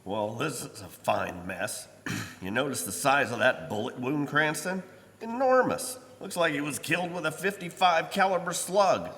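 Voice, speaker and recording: Police commissioner male actor, radio drama: blustery, impatient, stubborn, bright hard-edged voice, live hall reverb. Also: fairly high pitch, lively intonation, normal speed. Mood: irritated, insistent. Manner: blustery, brusque. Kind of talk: old-time radio drama acting.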